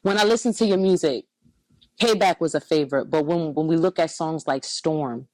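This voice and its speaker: A woman's voice: low voice, normal speed, a little mellow, no echo, low-quality recording. A young woman from Camden, New Jersey hosting a seated interview, reflecting back and affirming her guest's story in a small indoor studio. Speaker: interview host.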